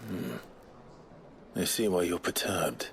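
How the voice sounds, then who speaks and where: An actor playing a grave, terse fantasy warrior in a video game, with a gravelly, restrained voice. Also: male voice, deep voice, animated, takes it slowly, noisy background, heavily acted. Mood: grave, curious. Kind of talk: video game cutscene acting.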